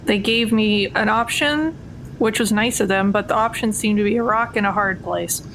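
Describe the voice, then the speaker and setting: A woman's voice: moderate pace, fairly bright tone, natural voice, poor audio. A woman playing a guarded, sardonic jailed thief in a tabletop game, terse and dry. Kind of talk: improvised tabletop roleplay. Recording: rumbly background noise.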